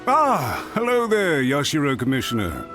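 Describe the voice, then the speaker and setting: An actor playing a deferential, businesslike clan retainer in an anime game, speaking formally and obligingly. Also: male voice, a little high, wildly swooping pitch, normal speed, music in the background.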